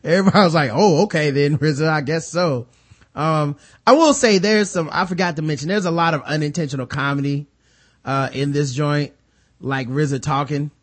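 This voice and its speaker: A man's voice: fairly high pitch, normal speed. An American man hosting a casual, profane film discussion, animatedly retelling scenes and acting out mock dialogue. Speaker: movie review podcast host.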